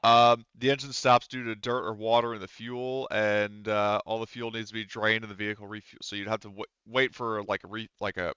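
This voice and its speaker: A man running a tabletop roleplaying game as game master, talking casually and pausing often mid-sentence, on slightly dull audio. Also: lowish voice, little pitch movement, sharp tone, clear, resonant tone, no echo.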